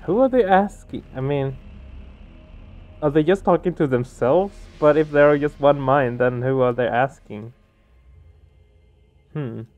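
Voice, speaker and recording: A man's voice: mid-range voice, unhurried, long pauses, narrow, tinny audio. Casting: male gaming host, mid-range voice, narrates story text with casual commentary, music underneath. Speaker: let's play host. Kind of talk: reading game narration aloud, casual commentary. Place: small home room, indoors. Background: game music.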